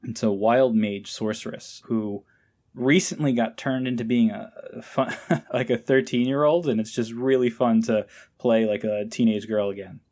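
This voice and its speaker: A man's voice: medium pitch, fairly even intonation, moderate pace, a little mellow. A man speaking as a podcast guest in a casual, informal and somewhat hesitant, enthusiastic way. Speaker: podcast guest, amateur voice actor. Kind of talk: casual interview chat.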